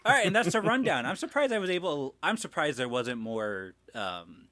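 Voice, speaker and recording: A man's voice: a little high, sing-song, moderate pace, pushed and bright, no room sound. A man hosting a livestream in casual chat, rambling and self-deprecating, with some swearing. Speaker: livestream host.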